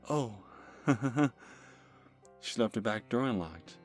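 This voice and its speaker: A man playing a nervous, creepy lovestruck stalker telling a first-person horror story in an ASMR manner, halting and stammering as he corrects himself. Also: medium pitch, animated, voice pushed up. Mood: gleeful, possessive. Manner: halting, stammering, breathy sighs and self-corrections. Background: music.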